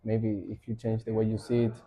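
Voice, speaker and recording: A man hosting a podcast who asks open questions and fills his guest's pauses with short agreeing replies. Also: on the low side, completely flat delivery, phone-quality sound, a little hiss or hum. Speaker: podcast host. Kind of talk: casual podcast interview.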